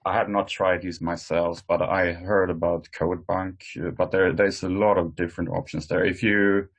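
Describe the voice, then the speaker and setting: A man presenting informally in an online work meeting, speaking hesitantly with frequent pauses. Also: sits low, little pitch movement. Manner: hesitant, halting delivery with many pauses. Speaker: meeting host presenting tools. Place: online conference call.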